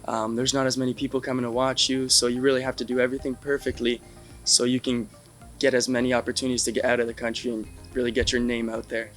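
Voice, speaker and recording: A man's voice: medium pitch, monotone, quick pace, a bit bright, soft background music. A young man from Ontario, answering interview questions in an easygoing way and often ending points with "right?". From Canada, Ontario. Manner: easygoing, tags many lines with "right?". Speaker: interview guest, baseball catcher.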